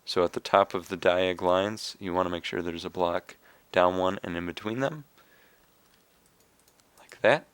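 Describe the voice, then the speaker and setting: A young man talks unhurriedly through a game tutorial, pausing on fillers as he goes. His low voice is raspy and a little hoarse, yet comes through smooth and close on a dry, roomless desk mic. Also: no room sound. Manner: relaxed, explaining step by step with fillers. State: hoarse from coughing. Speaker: video game tutorial maker. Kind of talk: casual screen-recorded game tutorial.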